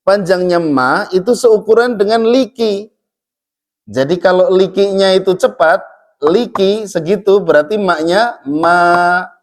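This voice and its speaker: A man's voice: high voice, measured pace. A man teaching Quran recitation in a mosque, chatting and joking, and reading verses aloud to show how they sound. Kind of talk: casual religious study talk.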